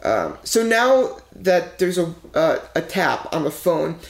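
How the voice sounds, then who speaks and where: Male podcast co-host, gravelly, rambling filler-heavy storyteller, swears, echoey room. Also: a little high, sing-song, slow. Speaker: podcast co-host telling a true-crime case. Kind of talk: casual true-crime podcast chat.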